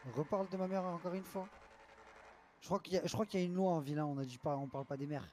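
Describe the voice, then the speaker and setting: Higher-voiced man bantering over vehicle noise. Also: very fast, a little mellow. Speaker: streamer trolling players in an online roleplay game. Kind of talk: casual in-game banter.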